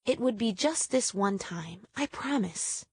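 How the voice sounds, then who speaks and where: A woman voicing a small, sick young female sparrow in a children's storybook, pleading gently and respectfully. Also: medium pitch, expressive, a little slow, husky, no room sound, exaggerated voice. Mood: polite pleading.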